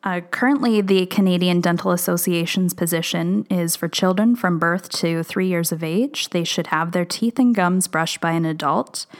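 A woman in her late twenties speaks as a dental hygienist guest, with a gravelly, fried edge and a lowish pitch. She lays out guidelines in a measured, informative way. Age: 20s. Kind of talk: explaining guidelines.

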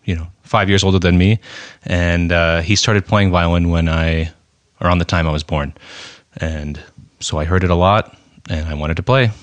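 A low-pitched American man, like a musician friend chatting casually into a close podcast microphone. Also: fairly even intonation, normal speed, no room sound. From United States. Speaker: orchestral violinist, podcast guest. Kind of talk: casual chat.

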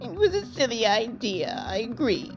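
A man's voice: very high voice, huge pitch swings, normal speed, creaky, over music, voice pushed up, heavily acted. A man voicing a giddy, scheming old witch who talks in a dreamy, sing-song way.

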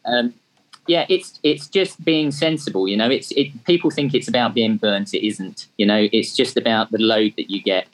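A man's voice: lowish voice, normal speed, rough recording. A man in his fifties from England, with an English accent that has a slight Australian tinge, chatting as an interview guest in a self-deprecating way with frequent ums. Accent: English, with some Australian tinge. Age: 50s. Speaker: guest, charity track day organiser. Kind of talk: casual interview talk.

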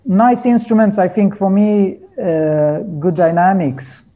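High-voiced man, dull recording. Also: steady tone, slow. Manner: hesitant, searching for words in non-native English. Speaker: interview guest, folk musician. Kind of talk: casual conversational interview.

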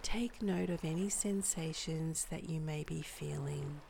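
A woman guiding a meditation in a soft, breathy, soothing voice with measured delivery and long pauses. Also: sits low, background noise. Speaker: meditation guide and podcast host. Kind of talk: guided body scan meditation, scripted narration.

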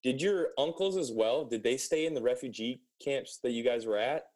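Casual male host, medium pitch. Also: normal speed, darkish tone. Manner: informal, reacts with short interjections. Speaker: interview host. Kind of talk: casual chat, asking questions.

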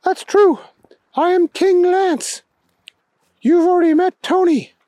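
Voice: very high-pitched, slow, warm tone, no echo, voice pushed up. A storyteller voicing a solemn king of small alien creatures in a children's-style comic tale. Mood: imperious. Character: solemn alien king of big-footed little people.

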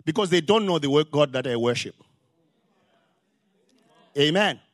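A man's voice: fairly high pitch, lively intonation, measured pace. An older man from Zimbabwe with a Zimbabwean accent, preaching a sermon in an emphatic style that builds to rising exclamations. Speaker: pastor.

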